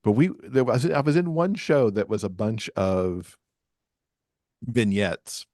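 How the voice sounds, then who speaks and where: Man, low bass voice, dry close sound, casual podcast guest, self-deprecating. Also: takes it slowly, darkish tone, no room sound. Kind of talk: casual chat.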